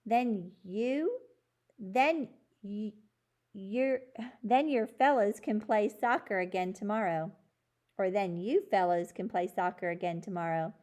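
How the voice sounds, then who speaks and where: A woman reading the part of a friendly, reasonable male principal in a children's storybook, in a measured, conciliatory manner. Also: mid-range voice, a little mellow, lightly acted. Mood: kindly, approving.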